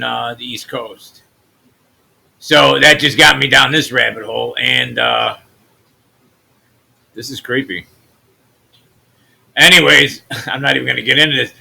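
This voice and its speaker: A man from the US Midwest with a naturally deep voice, hosting a channel and talking loosely through his screen, trailing off into mutters. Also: creaky, pushed and bright. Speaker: channel host. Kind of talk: rambling screen-share commentary.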